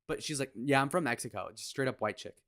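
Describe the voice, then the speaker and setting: A man's voice: medium pitch, fast talker, a bit bright. A young man streaming live, reacting to clips with blunt, mocking, crude running commentary in a casual way.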